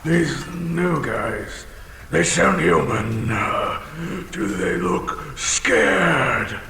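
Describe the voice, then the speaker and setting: Gravelly, theatrical dragon in a cavern. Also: male voice, a little high, animated, drawn-out words, bright, forceful tone, heavily acted. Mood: gruff, irritated. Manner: theatrical, rambling, swings into bellowing. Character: huge, touchy, boastful ancient dragon, chatty and wounded. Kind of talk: audio drama acting. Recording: cavernous echo with long reverb, faint noise.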